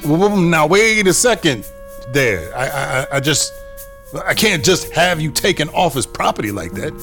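A man's voice: fairly high pitch, big pitch swings, normal speed, a bit bright, faint music behind. A man playing an uneasy, gullible office boss in an audio drama, talking informally and hesitantly. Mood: wary, hesitant.

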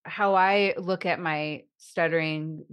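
A woman's voice: fairly low pitch, fairly even intonation, slow, smooth. A woman hosting a podcast interview who speaks with a stutter, with repeated sounds and blocks, and talks in a reflective, thoughtful way. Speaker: podcast host. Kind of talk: conversational interview.

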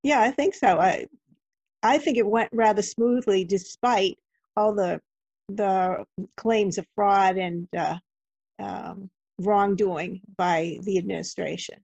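Creaky-voiced woman interviewer, dull recording. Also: medium pitch, slow pace, darkish tone, dry recording. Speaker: interviewer, host. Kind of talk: conversational interview questions.

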